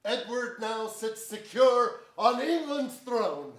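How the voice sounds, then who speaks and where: A man's voice: extremely high pitch, lively intonation, slow pace, heavily acted. An older man playing a bold, forthright royal officer, declaiming emphatic Shakespearean verse in a staged reading. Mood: grave reporting. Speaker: stage actor. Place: large indoor theater stage before an audience. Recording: echoey theater, distant microphone.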